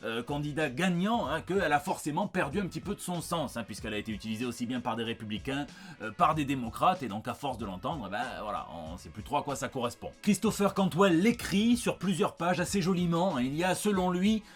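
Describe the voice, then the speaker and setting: French male host, slightly high voice, chatty and joking, for a conversational news review. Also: lively intonation, fairly quick, soft background music. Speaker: host of a comic book news show.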